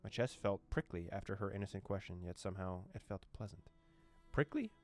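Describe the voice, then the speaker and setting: Deep-voiced young man reading a game, joking. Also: animated, fairly quick, faint music behind. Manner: playful, joking, laughs often. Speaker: gaming YouTuber. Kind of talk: reading a visual novel aloud with commentary.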